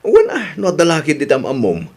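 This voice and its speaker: A man's voice: on the high side, huge pitch swings, quick pace, darkish tone, slightly rough, exaggerated voice. A man playing a broke husband in an amateur radio drama, coaxing his way toward another loan in a conversational manner. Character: broke husband casually pushing to borrow again.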